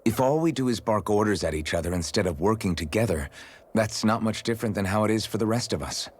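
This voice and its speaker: A man's voice: on the low side, lively intonation, fast talker, slightly rough. A man playing an earnest, patient young fantasy hero in a video game. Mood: earnest, persuasive. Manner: earnest, conciliatory.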